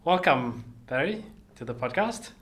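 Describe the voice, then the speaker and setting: A man's voice: medium pitch, animated, a bit bright. Upbeat podcast host, man. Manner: upbeat, laughing, quick asides. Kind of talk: podcast interview.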